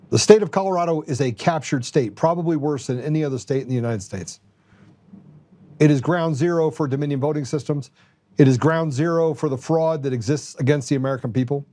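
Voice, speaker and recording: A man's voice: on the high side, a little mellow. A man hosting a political talk show, giving opinionated commentary in an emphatic way and repeating phrases for effect.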